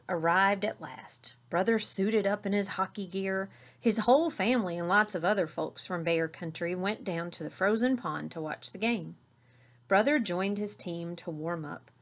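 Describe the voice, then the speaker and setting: A middle-aged woman reading a children's story aloud in an expressive narrating style. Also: lowish voice. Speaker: storybook reader. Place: small indoor room with curtain backdrop.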